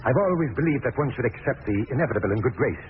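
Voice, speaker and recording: A smooth, mock-polite villainous doctor played by a man in a vintage radio drama. His theatrical voice swoops up and down with silky menace. The old recording is narrow and noisy. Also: medium pitch, lively intonation, rapid-fire, lightly acted. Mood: coolly resigned. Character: smooth, sly male doctor villain, falsely courteous.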